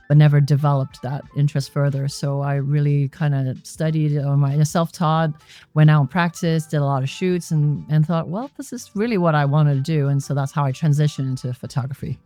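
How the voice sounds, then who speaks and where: A woman's voice: low voice, mellow. A woman from Hong Kong, a guest on an interview podcast, who explains her views in a reflective, thoughtful way. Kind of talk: conversational interview answers. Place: members' club lounge.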